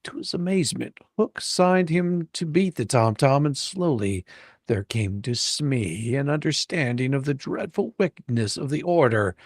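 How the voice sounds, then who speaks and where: A male storyteller with a gravelly creak, recorded close and dry, reads a children's adventure tale aloud. He performs it theatrically, playing it for comedy. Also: mid-range voice, animated, measured pace, no room sound. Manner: hammy, ad-libs folksy drawling turns of phrase. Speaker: storyteller reading a book aloud to a live audience.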